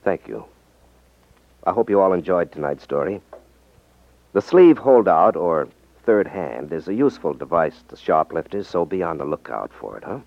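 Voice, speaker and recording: A dull, dry old radio recording of a male detective from a fifties crime drama. He is low-voiced and very animated, a sharp, self-assured ex-magician with a wry way of explaining. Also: moderate pace, creaky, no room sound, heavily acted. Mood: addressing listeners. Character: ex-magician turned rackets detective, confident, sharp, wry man.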